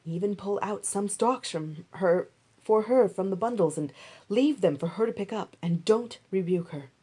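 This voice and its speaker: A woman voicing a kindly, protective male landowner in a Bible story, giving measured, reassuring instructions. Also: mid-range voice, mellow, breathy edge, close to an everyday voice. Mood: generous, commanding.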